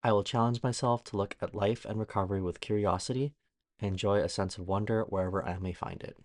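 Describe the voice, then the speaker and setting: Male reader, slightly low voice, for an inspirational read-aloud piece; dry recording. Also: fairly even intonation, fast talker, dry recording. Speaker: guest reader of a daily recovery meditation.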